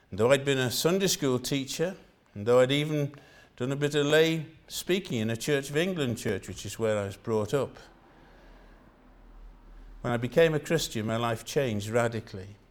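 An older man from Wales with a Welsh accent, preaching a sermon in an earnest style and repeating phrases for emphasis. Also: medium pitch.

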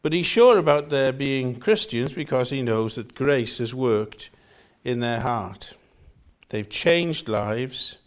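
Older Welsh male preacher, earnest, repeats lines for emphasis, mid-pitched voice. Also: expressive, slow. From Wales. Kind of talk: sermon.

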